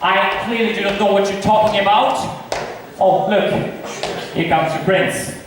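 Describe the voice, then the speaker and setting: A man playing a high-pitched, mocking theatrical bachelor. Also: measured pace. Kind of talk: amateur school stage acting of modernized Shakespeare comedy. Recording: echoey hall, distant mic, noisy.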